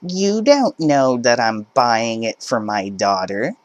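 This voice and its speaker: A man voicing a shrill, demanding entitled middle-aged mother who argues with a store cashier. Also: animated, slow pace, no room sound. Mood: indignant. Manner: indignant, demanding, shouting.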